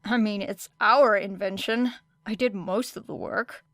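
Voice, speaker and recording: A woman's voice: mid-range voice, fairly even intonation, measured pace, fairly bright tone, no echo. A woman voicing a wry, nonchalant young female fighter in an audiobook story, casual and dry. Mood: matter-of-fact.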